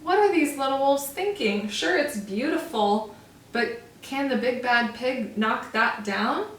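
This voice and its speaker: A woman teacher reading a storybook aloud to young children, with slow, expressive, drawn-out emphasis. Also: high-pitched. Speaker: first-grade teacher. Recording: roomy echo, from far off.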